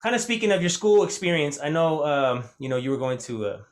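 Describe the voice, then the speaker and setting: A man's voice: a little high, big pitch swings, brisk. A man hosting a casual podcast interview, a Spanish teacher whose first language was Spanish, talking in a rambling way full of fillers and restarts. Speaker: podcast host.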